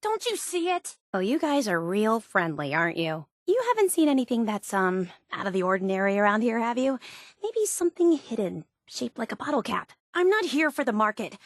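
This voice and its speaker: An eager young woman, a devoted superfan in a video game. She is high-pitched and excitable, gushing with earnest enthusiasm. The sound is close and dry. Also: fairly quick, no echo. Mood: excited, then coaxing, intent, then awestruck. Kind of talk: video game character dialogue.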